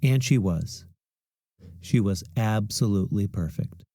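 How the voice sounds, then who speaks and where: A man reading a children's picture book aloud in an expressive, deliberate storytelling manner. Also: fairly low pitch, no room sound, clean studio sound. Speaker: storybook reader.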